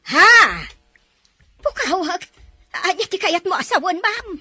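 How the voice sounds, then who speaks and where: A woman's voice: very high-pitched, very animated, slow pace, bright, forceful tone, no room sound, heavily acted. A woman voicing a timid, loyal middle-aged housemaid who answers her employers with deference in a radio drama. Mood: alarmed. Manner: deferential and flustered, keeps saying sir and ma'am.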